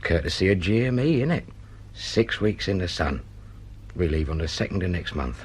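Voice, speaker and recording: Low-voiced chummy London wide boy. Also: animated, moderate pace, husky. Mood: excited, boastful. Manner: slangy, matey. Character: chummy, wheedling male Cockney minder, cheerful and sly. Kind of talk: British radio drama acting.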